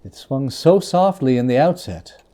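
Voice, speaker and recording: A male storyteller with a mellow voice and a very lively melodic range. He reads classic ghostly fiction dramatically, leaning theatrically into his phrases. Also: medium pitch, a little slow. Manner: theatrical, expressive, emphatic phrasing. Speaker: reader.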